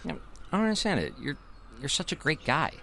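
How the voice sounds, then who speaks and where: An actor playing a loyal, supportive young man in an audio drama, with a gentle, halting delivery. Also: fairly high pitch, wildly swooping pitch, gravelly, a little hiss or hum, heavily acted. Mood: earnest, gentle. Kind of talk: audio drama dialogue acting.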